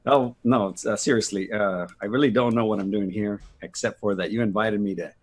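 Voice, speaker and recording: Low, slightly husky man, casual guest. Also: fairly even intonation, brisk. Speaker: guest on a live stream. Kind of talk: casual chat on a video call. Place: remote video call.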